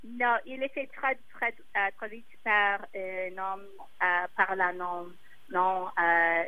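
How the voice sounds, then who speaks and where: A woman speaking slowly and haltingly in her second language, her first tongue being English. She pauses often to search for words, and the sound is dull and lo-fi, as if over a phone line. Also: medium pitch, steady tone, slight background noise. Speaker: singer.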